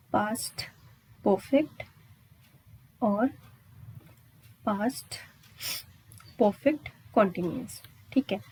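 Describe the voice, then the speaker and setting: A woman's voice: medium pitch, darkish tone, husky, low-quality recording. An Indian woman teaching grammar step by step, repeating points and answering her own questions with frequent check-in tags. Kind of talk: exam-prep lesson at a board, explaining step by step.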